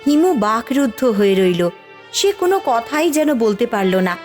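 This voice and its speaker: A woman's voice: on the high side, very animated, normal speed, darkish tone, faint music behind. A woman narrating a cartoon folk story in scripted storytelling style.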